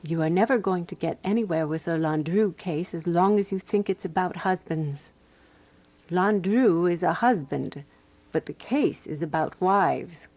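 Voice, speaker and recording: An actress playing an exasperated, devoted woman companion who narrates a radio drama murder mystery in a measured, wry way. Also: fairly low pitch, a little mellow. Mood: exasperated, jealous.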